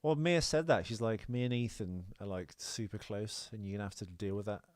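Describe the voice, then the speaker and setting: A man's voice: on the low side, brisk, a little mellow, dry recording, studio quality. A man hosting a podcast in casual chat, joking and self-mocking, often questioning and protesting. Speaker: podcast host.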